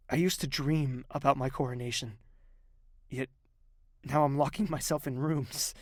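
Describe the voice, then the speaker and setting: An actor playing a weary, grieving young king in an audio drama, speaking in a measured, introspective, hushed way. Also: medium pitch, no echo, studio quality. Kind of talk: dramatic first-person narration and dialogue in audio drama.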